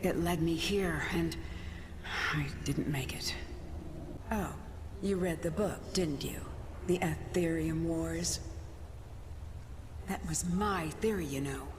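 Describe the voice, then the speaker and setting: A woman's voice: sits low, takes it slowly, long pauses. An actor, a woman, playing the weary, bitter ghost of an adventurer in a video game, resigned and wry. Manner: resigned, wry, dramatic delivery. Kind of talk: video game character acting. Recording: long ghostly reverb over loud background noise.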